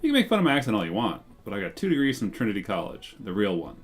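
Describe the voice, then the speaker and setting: Guy reading a deadpan bartender lady, irish, not impressed, lowish voice, soft music behind. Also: rapid-fire, breathy edge, heavily acted. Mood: dry. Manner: dry, unimpressed.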